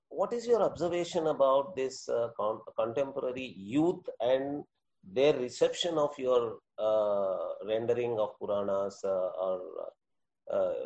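A man with an Indian accent interviewing in a formal, scholarly way, halting and deliberate with many hesitations. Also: mid-range voice, dark tone, dull audio.

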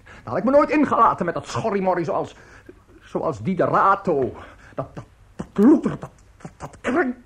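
An actor playing a bitter, self-pitying, tipsy middle-aged conductor in a radio play, husky and halting as he grumbles. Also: high voice, very animated, a bit bright, full character voice. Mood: bitter, ranting. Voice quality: husky, rough. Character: bitter, drunken, self-pitying provincial conductor nearing fifty.

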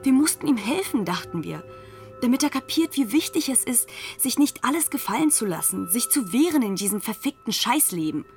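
A woman's voice: fairly high pitch, sing-song, brisk, darkish tone, soft background music. An actress playing a woman who recalls her cruel youth gang in radio drama narration, in terse fragments. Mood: agitated.

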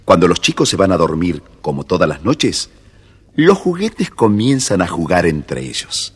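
A man's voice: low voice, huge pitch swings, measured pace, husky. A man narrating a scripted children's story as storyteller.

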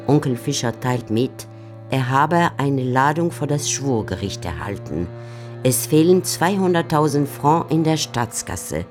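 A woman giving measured, composed scripted narration for a literary radio drama. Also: very deep voice, lively intonation, darkish tone. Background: faint music.

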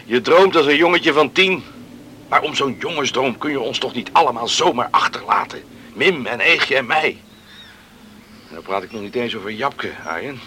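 An actor playing an earnest, proud younger brother in a radio play, speaking with insistent emphasis. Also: male voice, mid-range voice, animated, moderate pace, pushed and bright, slight background noise. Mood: pleading. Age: young adult.

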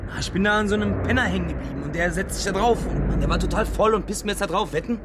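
A man's voice: sits high, very fast, heavy background noise, close to an everyday voice. An actor playing an impatient, irritable young male traveller who grumbles in crude slang in a radio drama. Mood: frustrated. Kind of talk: naturalistic radio drama acting. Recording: loud music and urban transit sounds.

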